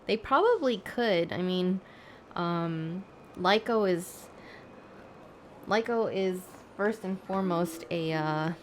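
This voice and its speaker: A woman's voice: lowish voice, animated, slow pace, slight background noise. A woman livestreamer chatting casually with her viewers, thinking aloud in a hesitant, rambling way. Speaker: live streamer.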